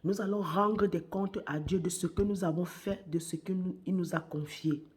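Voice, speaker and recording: A woman preaching a morning sermon while she has a cold. Her voice is worn, and she speaks deliberately, repeating key phrases and sometimes dropping to a hush. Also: on the low side, fairly even intonation, slight background noise. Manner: slow and deliberate, repeats phrases, hushed at times.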